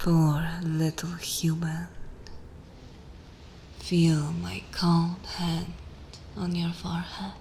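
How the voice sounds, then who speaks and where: A woman voicing a dominant, condescending, seductive vampire mistress in an ASMR roleplay, speaking in breathy, intimate whispers with long deliberate pauses. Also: fairly low pitch, flat delivery, mellow, slight background noise. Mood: seductive, hushed.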